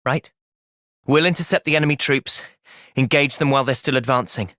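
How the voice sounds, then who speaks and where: A man's voice: mid-range voice, brisk, sharp tone, dry recording, clean studio sound, close to an everyday voice. A man playing an earnest, thoughtful young soldier hero with a British accent in a video game. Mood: earnest, calm. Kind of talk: video game cutscene voice acting.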